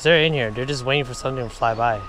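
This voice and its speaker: A man's voice: mid-range voice, rapid-fire, fairly bright tone. A man chatting casually to camera as he fishes by a river, slangy and full of exclamations, recorded on an action camera. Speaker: fishing vlogger. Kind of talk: casual excited chat to camera while fishing. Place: outdoors beside a shallow river. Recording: outdoor action camera with background noise.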